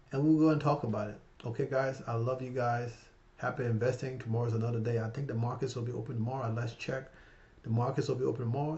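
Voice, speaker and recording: A man's voice: medium pitch, gravelly, muffled recording. A man in his fifties talking casually to his viewers in a rambling, heartfelt way, often tagging his sentences with "right?". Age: 50s. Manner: rambling, repeats "right?" and "you guys"; warm and heartfelt, emotional about his mother. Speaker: finance vlogger. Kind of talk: casual motivational talk to camera.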